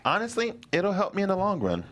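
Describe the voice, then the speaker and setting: A man's voice: sits high, huge pitch swings, quick pace, fairly bright tone, exaggerated voice. A man reading the part of a smug, ambitious young man at a table read.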